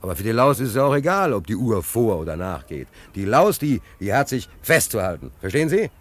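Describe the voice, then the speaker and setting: Deep male voice, stranded restless seaman, German radio play, very animated intonation, rambling, emphatic, repetitive. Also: brisk. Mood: agitated explaining. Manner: emphatic, rambling, repeats himself, hesitant fillers. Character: stranded, restless seaman, rambling and philosophizing about his luck.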